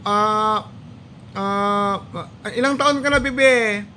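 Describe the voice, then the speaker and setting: A woman's voice: medium pitch, extremely slow, bright, forceful tone, slight background noise. A woman with a Filipino accent chatting playfully with a small child, asking coaxing questions and repeating the answers back. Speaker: parent vlogger making a prank call. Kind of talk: casual playful phone chat with a small child.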